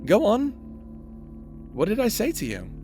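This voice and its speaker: Guy doing a tender haunted necromancer husband, soft music under it. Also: high voice, wildly swooping pitch, unhurried, exaggerated voice. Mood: teasing. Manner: tender, teasing, softly spoken. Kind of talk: roleplay audio drama.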